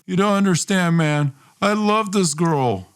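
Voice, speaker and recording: A man voicing a reckless, defensive young male roommate, insistent and excitable, in a dramatized story. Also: high voice, a little slow, clean tone, pitched above the performer's own voice. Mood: upset.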